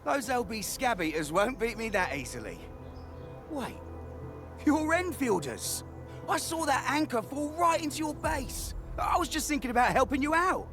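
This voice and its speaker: An actor playing a scrappy, hot-headed, blustering male industrial worker in a video game, talking colloquially and excitably. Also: very high voice, normal speed, music in the background. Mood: excited, friendly.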